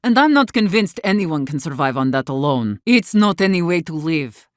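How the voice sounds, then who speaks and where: A low-pitched, embittered warden leader. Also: female voice, big pitch swings, normal speed, no room sound, studio quality. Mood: bitter, weary. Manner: blunt, profane, earnest. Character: embittered, defiant woman warden leader, weary but fierce. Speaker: video game voice actor. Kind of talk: dramatic game dialogue.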